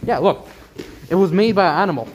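A man's voice: high-pitched, animated, quick pace, highs cut off, slight background noise. A young man filming as he walks through a large abandoned factory, chatting and explaining what he sees. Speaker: vlogger filming. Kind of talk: casual chat. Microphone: handheld camera while walking.

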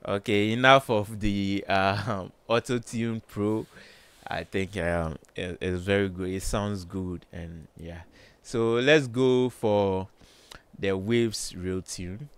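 A man with a low voice, slowly thinking aloud with many fillers while demonstrating music plugins in a home studio. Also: fairly even intonation, clean tone. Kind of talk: casual screen-recorded tutorial. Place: small home studio with colored lights.

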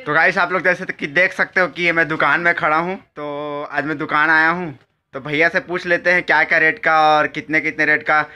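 Young male vlogger, very sharp bright tone, casual interviewing. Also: fairly high pitch. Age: young adult. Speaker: vlogger interviewing a shop owner. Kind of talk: casual chat to camera. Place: small clothing shop.

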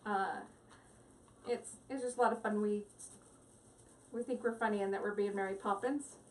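A woman's voice: medium pitch, steady tone, moderate pace, far from the mic, slight background noise. A woman hosting a live crafting stream, chatting with viewers in a rambling, giggly way at her craft table at home. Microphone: device mic set back from the table.

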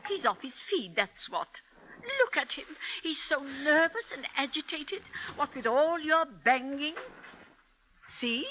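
Shrill, querulous older mother. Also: expressive, measured pace, pushed and bright, slight background noise, heavily acted, rough recording. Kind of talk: 1950s radio acting.

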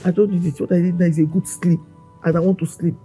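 A woman's voice: on the low side, flat delivery, fast, dark tone, soft background music, close to an everyday voice. A young woman with a Nigerian accent playing a pregnant wife who scolds and cries with repeated questions. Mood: sullen. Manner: tearful, scolding, repetitive questions. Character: pregnant wife, tearful and accusing. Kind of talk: Nollywood-style skit acting.

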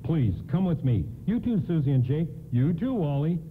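A man's voice: on the high side, big pitch swings, normal speed, loud music behind the voice. An actor in a radio play playing a kindly, courteous and reassuring department store doorman. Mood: eager, helpful. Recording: lo-fi, tinny, noisy radio broadcast.

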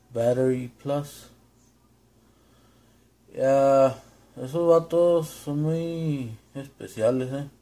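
A man with a Mexican Spanish accent giving a casual, unscripted demonstration, informal and halting as he searches for words and drops in English. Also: medium pitch, dark tone. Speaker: home vlogger demonstrating gear.

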